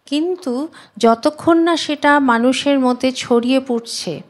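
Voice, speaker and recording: A woman's voice: high voice, unhurried. A woman, answering interview questions in an earnest, emphatic, conversational way. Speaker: singer, interview guest.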